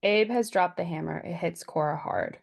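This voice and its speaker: A woman's voice: lowish voice, normal speed, gravelly. A woman narrating stage directions from a script at a table read.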